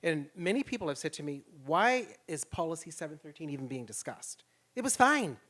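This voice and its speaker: A man's voice: a little high, big pitch swings, fairly quick, fairly bright tone. A man speaking as a panelist at a public event, emphatic and impassioned. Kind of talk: panel discussion.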